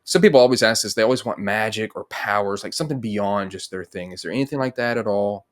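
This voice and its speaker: A man's voice: fairly low pitch, quick pace. A middle-aged man hosting a video call interview, putting questions in a casual, conversational way. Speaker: host, interviewer. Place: indoor room on a video call.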